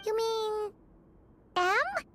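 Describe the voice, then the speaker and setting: A woman voicing a tiny, excitable, curious childlike sprite companion in a video game, eager and full of exclamations. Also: extremely high pitch, extremely slow, over music, exaggerated voice. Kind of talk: video game character acting.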